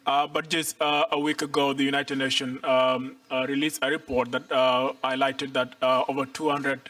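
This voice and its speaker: A man in his twenties from Ethiopia, giving earnest, deliberate panel remarks and repeating his key points. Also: mid-range voice, level tone, a bit bright, dry recording. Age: 20s. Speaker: panel speaker. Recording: mic far off, dry sound.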